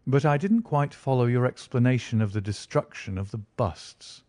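A man reading an audiobook, voicing a cool, measured male detective who speaks with quiet confidence. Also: medium pitch, no echo, lightly acted. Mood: calm, assured. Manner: calm, dry, quietly confident. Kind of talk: audiobook character voice.

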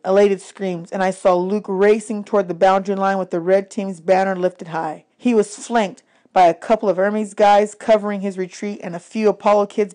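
A woman reading a children's adventure novel aloud as an expressive audiobook narrator, in scripted first-person narration. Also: mid-range voice.

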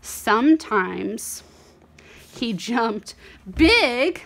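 Young woman, children's read-aloud host. Higher-pitched, unhurried delivery, expressive storytelling with dramatic emphasis. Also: gravelly, a little hiss or hum. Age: young adult. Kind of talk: animated picture-book storytelling for a young child.

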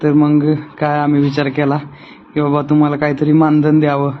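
High-voiced man on a muffled call. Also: monotone, moderate pace, a little hiss or hum. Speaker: phone caller. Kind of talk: phone conversation. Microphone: phone call. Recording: muffled lo-fi recorded phone call.

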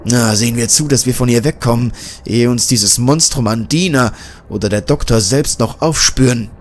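A man's voice: medium pitch, normal speed, a little hiss or hum, lightly acted. A man as a tense young reporter. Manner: tense, determined. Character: determined male journalist, young adult.

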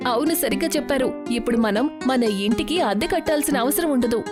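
Casting: supportive storybook wife, a woman acting, hopeful, higher pitch, music behind. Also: fairly quick, natural voice. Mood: pleased.

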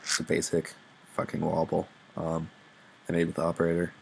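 A young man with a very low voice that crackles with vocal fry. He talks through a tutorial off the cuff, informal, sweary and self-deprecating. The recording has clear background noise with little clicks. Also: monotone, fairly quick. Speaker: music production tutorial presenter. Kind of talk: casual unscripted screen-recorded tutorial.

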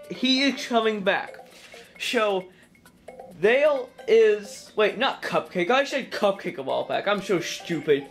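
A young woman casually narrating a plush puppet cooking skit at home, rambling and jokey, correcting herself as she goes. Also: medium pitch. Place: home kitchen and dining room.

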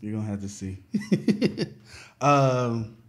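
A man hosts a relaxed interview. He asks his questions in slang and keeps answering with easy words of agreement. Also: mid-range voice, very slow. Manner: easygoing, affirming, slangy questions. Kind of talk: casual interview chat.

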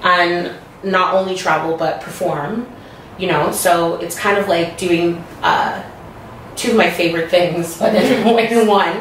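A woman's voice: on the low side, measured pace. A young woman from the Toronto area hosts a casual group chat in a living room, giggly and chatty, full of "like" and "you know what I mean". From Toronto area, Canada. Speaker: vlog host. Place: dim living room, indoors. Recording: roomy, distant camera audio, faint hiss.

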